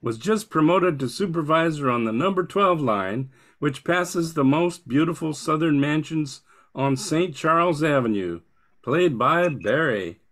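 An older man presents a character from a radio script. His voice is on the high side for a man. The intonation swings in a very animated way. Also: a little slow. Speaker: amateur radio-play performer. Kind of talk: scripted reading of a character introduction.

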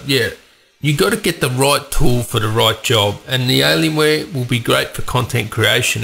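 Tech host, man, stuffed up from a cold, gravelly edge, chatty and opinionated. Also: mid-range voice, pushed and bright, a little hiss or hum. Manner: chatty, opinionated, rambling asides. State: has a cold, congested voice. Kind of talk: casual tech news commentary.

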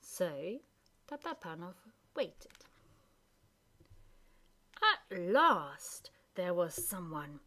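A woman storyteller reading a storybook aloud in an expressive narrating style. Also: fairly low pitch, extremely slow, long pauses.

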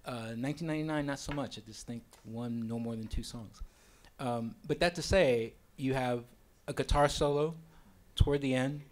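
A man's voice: medium pitch, moderate pace. A man speaking as a panelist in a lecture hall on a handheld mic, hesitant and full of fillers. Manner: hesitant, filler-heavy, self-conscious. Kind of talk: panel answer. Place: lecture hall on a small stage.

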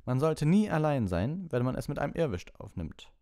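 A man's voice: a little high, very animated, moderate pace, mellow, pitched above the performer's own voice. A man voicing a cheerful, encouraging wizard teacher in an audiobook, instructing patiently and kindly. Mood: lively, amused. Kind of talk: animated audiobook character voice.

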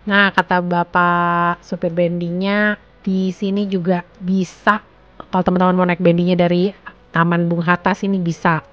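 A woman narrating a travel vlog as voice-over, describing sights like a relaxed tour guide. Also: on the low side, moderate pace. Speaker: travel vlogger. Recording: dry, slightly dull sound.

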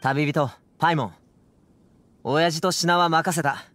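A man voicing a brave, casual young bodyguard in a video game, brisk, confident and protective. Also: a little high, steady tone, a bit bright, faint music behind. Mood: bold, determined. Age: young adult.

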